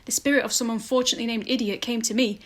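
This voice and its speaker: A woman's voice: on the high side, fairly even intonation, very fast, fairly bright tone. A woman playing an eccentric, upbeat private investigator and aunt in an audio drama.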